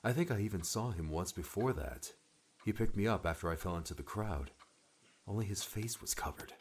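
A man acts an earnest young man in mourning for an audiobook. His voice is very low and muttered, recorded with audible noise and small clicks. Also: lively intonation, brisk, natural voice. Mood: earnest, shaken. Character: earnest, grieving young man clinging to hope. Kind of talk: audiobook character acting.

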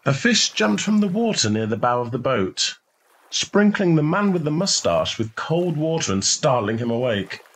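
A man narrating a short story in a measured, expressive literary reading style. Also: fairly high pitch.

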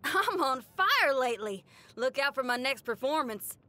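A woman's voice: sits high, very animated, normal speed, bright, forceful tone, full character voice. A woman voicing a brash young female rock guitarist in a game, cocky and upbeat. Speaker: game character. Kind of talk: game voice lines.

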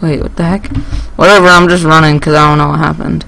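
A teenage male gaming YouTuber giving casual, rambling and excitable gameplay commentary, speaking into a headset indoors. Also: on the high side, fast talker, creaky, slight background noise, rough recording.

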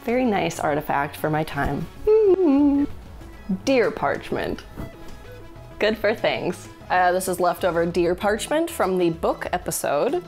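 A woman's voice: lowish voice, big pitch swings, slow, darkish tone. Jokey woman in her 30s showing off stuff, laughs a lot, voice bouncing all over, faint music underneath. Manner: playful, jokey, laughs often. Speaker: host. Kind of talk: casual show-and-tell of items. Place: indoor studio with a blue wall.

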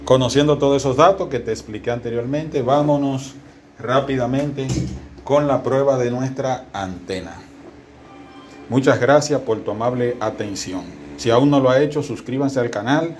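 A man's voice: mid-range voice, normal speed, a little mellow, soft background music. A man hosting a DIY channel, speaking informally and directly to the viewer as he explains in a tutorial style. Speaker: host of a DIY antenna channel. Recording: clearly noisy home-made phone recording.

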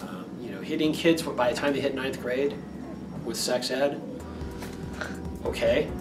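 A man's voice: medium pitch, a little slow. A middle-aged man in a classroom, arguing his opinion in an interview. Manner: animated, arguing a point. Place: classroom office. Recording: roomy distant sound with music under.

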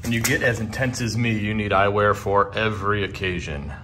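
A man's voice: lowish voice, steady tone, a little fast, noisy background. A man in his thirties from Ohio, filming himself at arm's length and making self-mocking jokes to the camera. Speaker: vlogger. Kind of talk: casual self-filmed vlog. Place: home garage and parked car. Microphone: handheld camera at arm's length.

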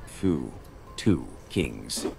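Very deep, slow-drawling saloon gambler. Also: male voice, big pitch swings, creaky, music in the background, exaggerated voice. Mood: cool, unfazed. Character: gruff, unflappable male gambler animal at a saloon table. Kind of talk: video game character barks.

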